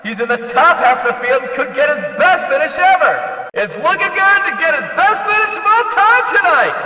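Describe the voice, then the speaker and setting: Hyped up folksy race announcer for a video game, super bright forceful voice, big echoey distant sound. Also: male voice, extremely high pitch, lively intonation, brisk, heavily acted. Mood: upbeat, excited. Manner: folksy, emphatic, dramatic. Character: excitable male race track announcer in a booth. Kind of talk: excited motorsport race commentary. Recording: echoey track PA, slightly dull, distant.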